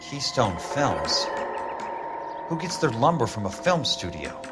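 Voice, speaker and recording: An American actor playing a dogged, terse insurance investigator in a detective video game, with a wry, matter-of-fact manner. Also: male voice, medium pitch, sing-song, slow pace. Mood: musing, suspicious. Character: dogged, dry insurance fire investigator, adult man. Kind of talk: cinematic video game acting, period detective drama. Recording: loud music under the voice.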